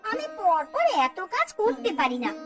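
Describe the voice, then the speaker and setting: A woman's voice: very high-pitched, wildly swooping pitch, moderate pace, over loud music, full character voice. A woman voicing a spoiled, stubborn young daughter-in-law in a children's cartoon, whining defiantly. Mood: whiny, defiant. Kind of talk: Bengali children's cartoon dialogue.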